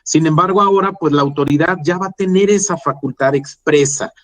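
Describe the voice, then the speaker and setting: A man's voice: on the high side, brisk. A man with a Mexican accent giving a professional webinar explanation, often adding tag questions to check agreement. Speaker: webinar panelist, tax litigation specialist.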